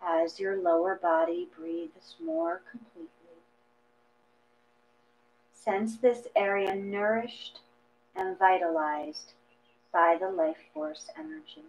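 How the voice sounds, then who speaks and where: Slow-paced woman guiding a meditation. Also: mid-range voice, big pitch swings, gravelly. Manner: gentle, measured, with long pauses between phrases. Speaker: guided meditation reader. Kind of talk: slow guided meditation, read from a script. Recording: dull lo-fi audio with mains hum.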